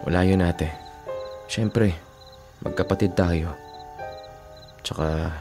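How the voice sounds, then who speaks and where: A man's voice: sits low, steady tone, slow, music in the background. A man with a Filipino accent acting a protective, earnest younger brother in a radio drama. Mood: reassuring, earnest.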